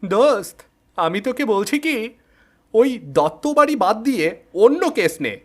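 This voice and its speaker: An anxious young-sounding pal in a ghost tale , put on by a man. His voice rides high above a normal speaking pitch. He pleads with urgency. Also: very animated, measured pace, a bit bright, heavily acted. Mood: worried. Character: anxious male friend warning the ghost hunter.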